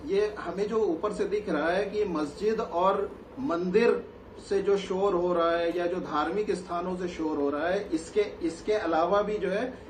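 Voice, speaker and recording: A man explaining as an expert guest, hesitantly repeating phrases and mixing in English terms. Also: high voice. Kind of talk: explanatory TV interview. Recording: roomy, noisy remote link.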